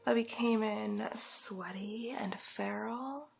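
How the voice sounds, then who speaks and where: A woman playing a haughty, condescending, luxury-obsessed villainess in an ASMR roleplay, with a drawling, theatrical delivery that shifts between breathy whisper and soft creaky speech. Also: mid-range voice, slow pace, exaggerated voice. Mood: snobbish, haughty. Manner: drawling, theatrical, condescending, calls listener darling.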